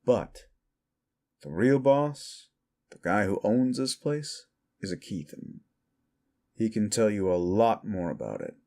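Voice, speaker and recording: A man's voice: on the low side, big pitch swings, slow, pauses often, darkish tone, heavily acted. A man voicing an easygoing, wry and knowing bartender in an audio drama. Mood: wry, confiding. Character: easygoing, wry male bartender of monster sanctuary bar. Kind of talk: amateur audio drama dialogue.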